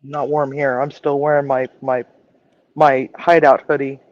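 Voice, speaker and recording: A woman with a low voice, chatting frankly and casually as a regular on a livestream. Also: little pitch movement, unhurried, a little mellow, no room sound, lo-fi sound. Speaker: chef and regular co-host.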